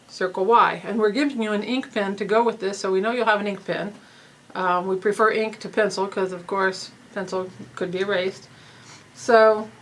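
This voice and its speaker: A woman's voice: medium pitch, a bit bright, poor audio. A woman coordinating a research study, patiently explaining participant forms step by step, slightly stuffy-nosed, with occasional ums.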